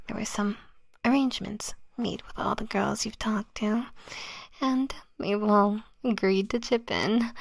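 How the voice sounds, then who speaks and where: A sweetly menacing, obsessive lover breathes her devotion in a soft, unhurried whisper, put on by a young woman. Her tone stays cooing and sugary, pitched a touch high. The sound is close and dry. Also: gravelly, no room sound. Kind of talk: whispered ASMR roleplay script reading.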